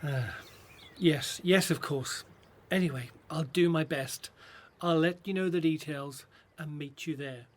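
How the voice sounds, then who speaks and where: An actor playing an anxious but loyal Hebrew brother in a Bible radio drama, speaking earnestly and hesitantly. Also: male voice, a little high, measured pace. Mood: hesitant, reluctant.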